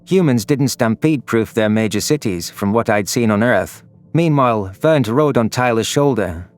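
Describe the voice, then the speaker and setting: A man reads first-person science fiction narration in a medium-pitched voice. Faint music plays behind his scripted storytelling. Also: lively intonation, moderate pace, no room sound. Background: background music.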